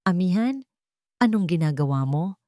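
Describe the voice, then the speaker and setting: A woman's voice: lowish voice, expressive, moderate pace, dark tone, dry recording, studio quality, natural voice. A woman voicing a wounded, guarded man in love in a romance story, hesitant and pleading. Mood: puzzled, concerned.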